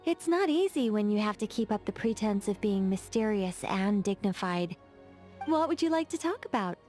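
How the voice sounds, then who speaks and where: A woman's voice: medium pitch, animated, normal speed, darkish tone, soft background music, natural voice. An actress voicing a poised, playful female mage in a game, talking in a wry, teasing, chatty way. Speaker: game character. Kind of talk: game voice lines.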